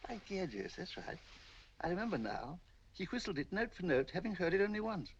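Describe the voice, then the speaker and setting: Carefree English gentleman collector, higher-pitched, flirtatious, genteel. Vintage film acting, dull soundtrack. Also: sing-song, a little fast, husky, exaggerated voice. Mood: amused. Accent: British English. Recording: muffled old film soundtrack.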